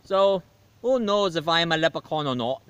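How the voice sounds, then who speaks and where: A man's voice: fairly high pitch, expressive, normal speed, fairly bright tone, clear, resonant tone. A man voicing a cheeky male leprechaun movie reviewer, with a put-on Irish accent and a jokey, rambling delivery full of fillers. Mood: jolly.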